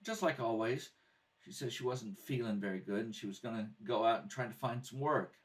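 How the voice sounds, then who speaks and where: A man's voice: medium pitch, fairly quick, natural voice. A man voicing a proud, earnest young boy who is protective of his family in a radio drama read-through. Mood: subdued, earnest. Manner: earnest, insistent. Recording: distant mic in a home kitchen.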